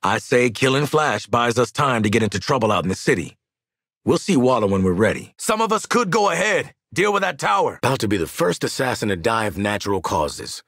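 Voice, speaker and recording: A man's voice: mid-range voice, very animated, a little fast, fairly bright tone, no echo, studio quality. An American man voicing a tough, wisecracking assassin in a video game, with a streetwise, slangy, sardonic delivery. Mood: urgent, commanding. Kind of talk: video game voice lines, combat barks.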